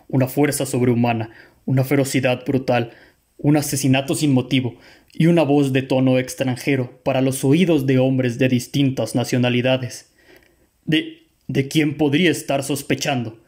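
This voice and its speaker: Medium-pitched male voice, man acting as attentive investigator, Mexican accent, formal phrasing, puzzled, radio drama. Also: level tone, normal speed, a little mellow, natural voice. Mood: puzzled, speculating.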